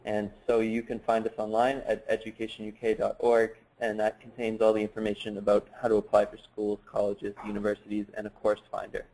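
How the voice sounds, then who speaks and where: A man presenting in a webinar for an education organisation, measured and steady, with frequent uhs. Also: fairly low pitch, poor audio. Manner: flat, measured, with many uhs.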